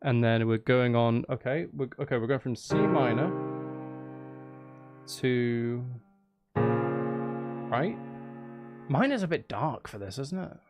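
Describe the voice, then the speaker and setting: A man's voice: medium pitch, lively intonation, slow pace, pauses often, loud music behind the voice. A man chatting casually on a livestream as he composes, thinking aloud in an apologetic, self-interrupting way. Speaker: live streamer and voice performer. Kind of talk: casual livestream chat while composing music.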